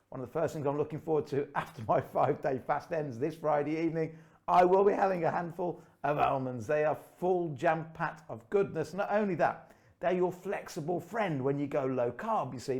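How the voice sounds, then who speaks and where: A man's voice: fairly high pitch, vocal fry. Upbeat man hosting. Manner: upbeat, chatty, laughs often. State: slightly croaky. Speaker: host. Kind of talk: casual online show presenting. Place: room under his house.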